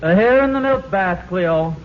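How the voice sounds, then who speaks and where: An actor playing a hapless, henpecked husband in a comic historical sketch, pleading and protesting in 1940s radio comedy style. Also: male voice, high voice, expressive, unhurried. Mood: casual. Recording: tinny, very noisy old radio recording.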